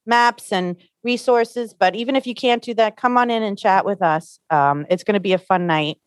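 A woman's voice: mid-range voice, normal speed, no room sound, studio quality. A woman librarian co-hosting a podcast, easygoing and chatty in casual talk. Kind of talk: casual chat. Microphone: studio microphone.

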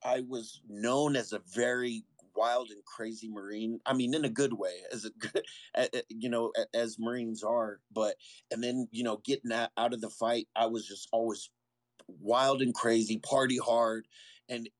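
A man's voice: mid-range voice, fairly even intonation, moderate pace, dry recording. A man, a former Marine, telling his own story as an interview guest in a candid, earnest way, often stopping and restarting.

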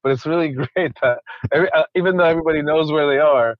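A man's voice: on the high side, fast, no room sound, lo-fi sound. A man from Ecuador, a conservationist guest, talking casually in an interview with many restarts and repeated words.

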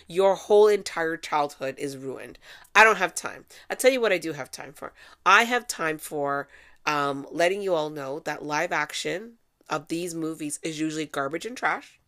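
A woman's voice: low voice, bright, forceful tone. A woman hosting her own podcast alone at home, talking in a chatty, sarcastic, rambling way. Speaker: podcast host. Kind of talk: casual solo podcast monologue. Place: at home, fan running.

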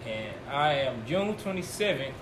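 Playful crude young man, lively voice. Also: mid-range voice, unhurried. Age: 20s. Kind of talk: casual chat. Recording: echoey room with noise.

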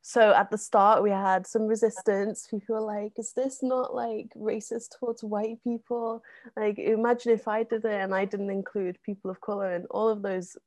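A woman, a guest on a casual podcast, talking with many ums and likes and getting animated in bursts. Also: medium pitch, normal speed, dry recording. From North West England. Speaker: interview guest, zine co-founder.

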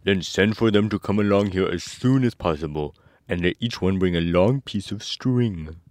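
A man's voice: low-pitched, normal speed, darkish tone, no echo, lightly acted. A man voicing an eager, helpful straw scarecrow from a storybook, earnest and persuasive in manner. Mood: confident. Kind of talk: storybook character voice.

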